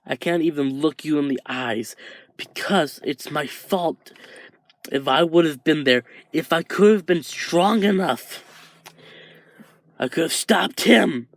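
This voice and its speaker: A man voicing a guilt-ridden young man who blames himself, pleading, with his pitch climbing as his voice breaks. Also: steady tone, a little slow, gravelly, fairly bright tone, no echo. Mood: anguished.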